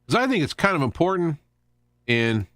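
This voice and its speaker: A man hosting an informal live radio show, explaining things in a rambling, halting monologue with repeated word starts. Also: fairly high pitch, sing-song, a bit bright, no room sound, studio quality. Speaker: radio host.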